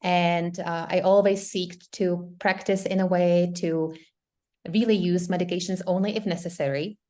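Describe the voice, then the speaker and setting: A woman's voice: fairly low pitch, fairly even intonation, moderate pace, mellow. A middle-aged woman from the Czech Republic with a Czech accent, a doctor explaining her practice earnestly in an interview. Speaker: guest doctor. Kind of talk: interview conversation.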